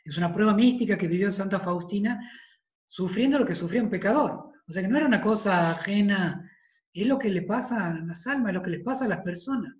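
A man in his thirties with a Tucumán Argentine accent, a priest giving an emphatic devotional talk over a video call, repeating phrases for weight and clearing a tired throat. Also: high voice, brisk. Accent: Tucumán Argentine Spanish. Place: study with bookshelves, indoors. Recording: roomy, dull video-call audio.

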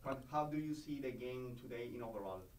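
A male radio reporter asking wordy, halting questions at a press conference. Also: medium pitch, a little mellow, recorded from a distance. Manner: halting, wordy questions in non-native phrasing. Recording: roomy sound from off mic.

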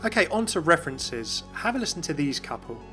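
A man's voice: on the high side, sing-song, brisk, bright, forceful tone, faint music behind. Chatty male game lore voice-over host. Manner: chatty, enthusiastic, speculative. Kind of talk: scripted commentary voice-over.

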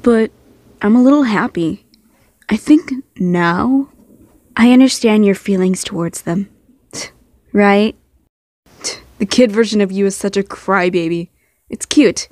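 A woman's voice: medium pitch, measured pace, long pauses, darkish tone, no echo, close to an everyday voice. A woman voicing fond, easily flustered young men with a sighing, mildly exasperated manner in an amateur comic dub. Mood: fond, tired.

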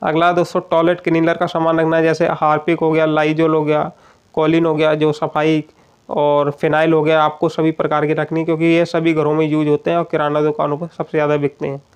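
A man's voice: on the high side, monotone, a little fast, clean tone. A man narrating a voice-over, going item by item through a list and often addressing viewers as friends. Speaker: grocery shop advice presenter. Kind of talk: voice-over listing shop items.

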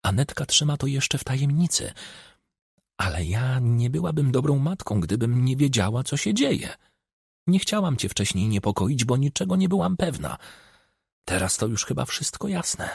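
Man reading as a confiding, earnest village mother, gravelly. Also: mid-range voice, sing-song, normal speed, no room sound, studio quality, full character voice. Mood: confiding, worried. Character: anxious village mother confiding her daughter's secret pregnancy.

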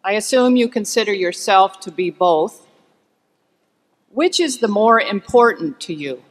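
A shareholder asking a question from the audience, phrasing it slowly and deliberately. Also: mid-range voice, expressive, in a large hall.

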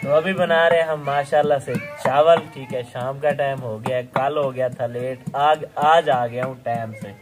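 A young man from Pakistan talks casually to his viewers in an open village courtyard. He is chatty, laughs easily and keeps checking in with "okay". His voice sits at an ordinary middle pitch, and the camera is set a few meters away. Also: steady tone, moderate pace, few pauses, background noise. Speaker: vlog host. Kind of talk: casual vlog narration to viewers.

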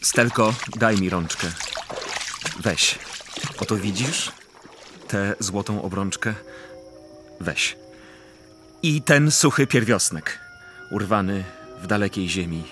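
An actor playing a tormented, bitter but tender exile in a radio drama, with solemn, halting phrasing. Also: male voice, on the low side, a bit bright, soft background music. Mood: tender, grieving. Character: Jan, tormented bitter male exile, tender yet proud. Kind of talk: theatrical radio drama acting.

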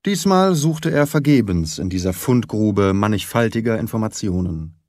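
A man's voice: fairly low pitch, animated, moderate pace, a little mellow, no echo, studio quality. A man narrating a literary story as an audiobook reader, in a scripted style. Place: dry studio.

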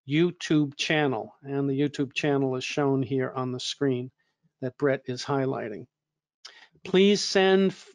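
Slightly hoarse man moderating a webinar. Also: a little high, dry recording. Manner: relaxed, conversational, with frequent fillers and restarts. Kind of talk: online training webinar introduction.

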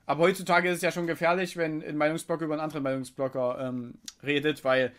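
A man's voice: fairly high pitch, flat delivery, quick pace, fairly bright tone, smooth. A man streaming from home on a headset, chatting loosely and greeting his viewers as he reacts to a video. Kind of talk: casual live-stream commentary.

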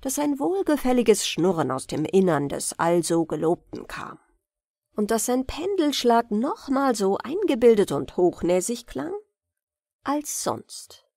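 A woman reading fairy tales as an audiobook narrator, with an expressive storytelling delivery. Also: fairly high pitch, a little slow, no room sound, clean studio sound. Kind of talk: bedtime fairy-tale reading, scripted narration.

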